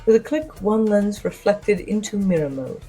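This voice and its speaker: A woman playing a witty, self-assured spy and socialite, narrating her own adventure with arch, wry, theatrical pauses. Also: mid-range voice, lively intonation, mellow, faint music behind, lightly acted, rough recording. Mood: composed, sly. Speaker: narrator of an audio drama. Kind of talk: first-person scripted dramatic narration.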